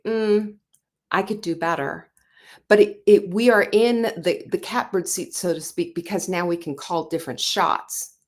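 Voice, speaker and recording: A woman in her sixties hosting a talk show, speaking earnestly and conversationally with frequent fillers and restarts. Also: on the low side. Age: 60s. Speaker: show host. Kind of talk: motivational talk.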